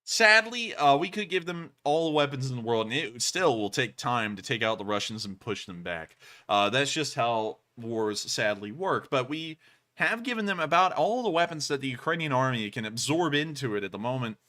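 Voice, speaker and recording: Animated high-pitched young man. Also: moderate pace. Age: young adult. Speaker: co-host of a live stream. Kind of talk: live stream commentary.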